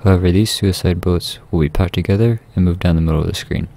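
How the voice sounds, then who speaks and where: A man reading a scripted video game guide in a measured, instructional way. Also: low-pitched, gravelly, warm tone. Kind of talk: scripted guide narration over gameplay.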